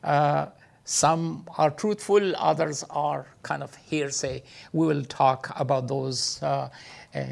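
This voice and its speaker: A man's voice: slow pace, gravelly. Hesitant man giving a teaching talk. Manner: hesitant, many filler sounds, lively pitch jumps; non-native English phrasing. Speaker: speaker teaching about Islam.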